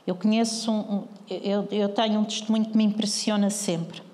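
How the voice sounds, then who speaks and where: A woman's voice: medium pitch, flat delivery, moderate pace, dark tone, in a large hall. A woman pastor with a European Portuguese accent preaching a sermon, earnestly questioning the congregation.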